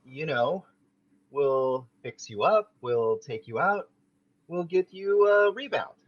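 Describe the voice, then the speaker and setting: A man's voice: a little high, expressive, slow, dull audio. A man reading a vain, bossy young man in an amateur table read. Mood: coaxing. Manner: bossy, coaxing, self-important.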